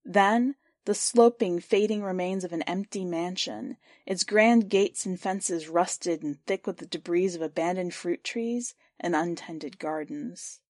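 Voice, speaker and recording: A woman reading a first-person literary short story aloud for a podcast, in a measured, clear storytelling voice. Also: lowish voice, darkish tone, no echo. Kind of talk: scripted narration of literary fiction.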